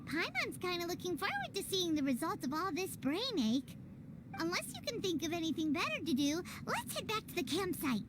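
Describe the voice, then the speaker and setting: A tiny squeaky fairy sidekick from a game, super high, bouncy and whiny, loud noise behind. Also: female voice, very animated, moderate pace. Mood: eager. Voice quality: squeaky, childlike. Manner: refers to herself in third person, cutesy. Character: tiny childlike floating fairy companion, whiny and excitable. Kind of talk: English video game voice acting.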